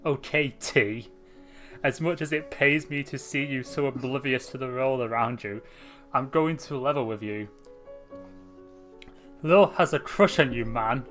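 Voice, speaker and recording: Man voicing a laid-back teasing teen boy, plain read, mid pitch. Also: measured pace, pauses often, a bit bright, faint music behind, close to an everyday voice.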